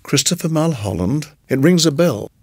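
A man's voice: fairly high pitch, lively intonation, normal speed, breathy edge, no room sound, clean studio sound, pitched above the performer's own voice. A man voicing a polite young male lodger in an audiobook, musing and earnest, a little hesitant. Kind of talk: audiobook character voice.

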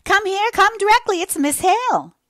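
A woman's voice: mid-range voice, moderate pace, no echo, clean studio sound, natural voice. A woman voicing a bustling, motherly older country landlady who exclaims and fusses, read for an audiobook. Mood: bustling fond. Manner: excited, exclaiming, fussing. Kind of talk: audiobook character reading.

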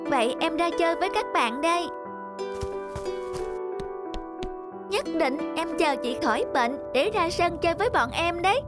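A woman's voice: extremely high pitch, lively intonation, fairly quick. A woman voicing an innocent, friendly little boy in a cartoon drama, with a childlike, eager, curious manner. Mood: friendly, hopeful. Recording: loud children's music behind.

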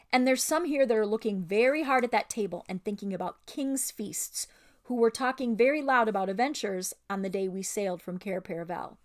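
An earnest storybook sailor, read by a woman, appealing to his shipmates. He is plain-spoken and colloquial, pressing his case at a medium, slightly lifted pitch. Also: lightly acted.

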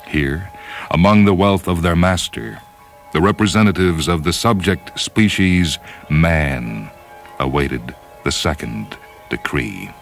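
A man reading a science fiction story as solemn, measured, dramatic narration. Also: very deep voice, lively intonation, gravelly. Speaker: short story reader. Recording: voice over background music. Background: orchestral and piano music.

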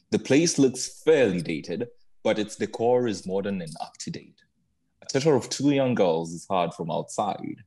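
Casting: male stage-direction narrator, mellow and husky, scripted scene-setting. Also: lowish voice.

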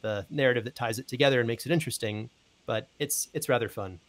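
Man in his thirties, mid-pitched, chatting casually. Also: fast talker. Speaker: audio drama podcast producer, guest. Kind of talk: casual promotional chat.

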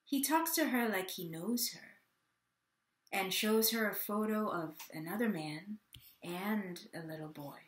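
A woman performing a dramatic monologue as a confused, childlike mother with memory loss, halting and full of wonder. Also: medium pitch, close to an everyday voice. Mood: wondering, drifting. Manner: slow, halting, wondering delivery with lingering pauses. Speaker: actor in a staged reading. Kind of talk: dramatic third-person monologue, read on book. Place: plain indoor room at home. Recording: roomy home webcam sound.

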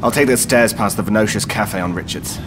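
An actor playing a harried, irritable city mayor in a science-fiction audio drama, speaking in a clipped, formal way. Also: male voice, fairly low pitch, a little fast, background noise, natural voice. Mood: grim, weary.

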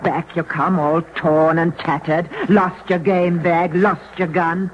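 A woman's voice: lowish voice, little pitch movement, takes it slowly. An actress playing an exasperated old peasant mother, scolding her son theatrically in a 1940s radio drama. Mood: accusing. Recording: muffled 1940s radio broadcast, faint noise.

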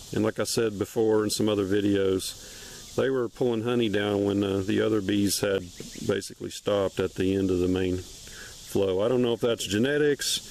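A man casually narrating a hive inspection outdoors, with an unhurried, plain-spoken, matter-of-fact way of talking. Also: lowish voice, vocal fry, a little mellow, a little hiss or hum. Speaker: beekeeper and video host. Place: outdoors among trees beside beehives.

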